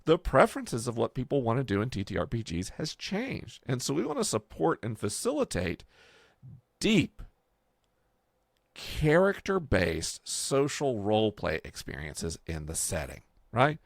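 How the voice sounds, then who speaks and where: A man's voice: medium pitch, huge pitch swings, unhurried, creaky, dry recording. A man talking casually and conversationally as a podcast host, explaining his project. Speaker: podcast host and game creator.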